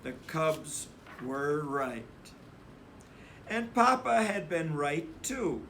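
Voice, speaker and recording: A man reads a picture book to children with deliberate emphasis. His voice sits fairly high and is bright and clear in projection, and he takes his time over each phrase. There is faint noise behind him. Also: sing-song. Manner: emphatic, deliberate, acts out dialogue. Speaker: read-aloud storyteller.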